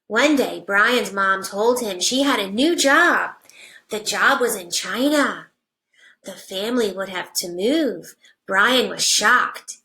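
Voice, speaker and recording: Slow-paced woman reading to young children. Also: mid-range voice, lively intonation, low-quality recording. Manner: simple deliberate phrasing with hushed asides to children. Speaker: first grade teacher. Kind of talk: picture-book read-aloud for young children.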